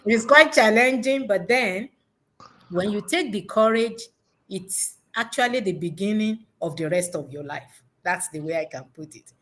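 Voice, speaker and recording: A woman's voice: medium pitch, expressive, moderate pace, fairly bright tone. A woman giving unscripted, conversational interview answers as an education consultant, reflective and rephrasing her points for emphasis.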